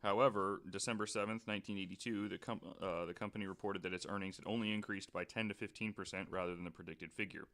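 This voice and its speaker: A man's voice: deep voice, steady tone, quick pace, hardly any pauses, a bit bright. A man hosting a casual podcast panel, rambling through a story and reading out figures from his notes, swearing now and then. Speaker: podcast host presenting the story.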